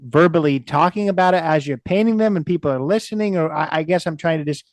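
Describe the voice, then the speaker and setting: A male podcast host listens closely and asks follow-up questions in a relaxed interview. His voice sits a bit high for a man. The recording is dry, with no room sound. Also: fast talker, no echo. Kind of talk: casual interview.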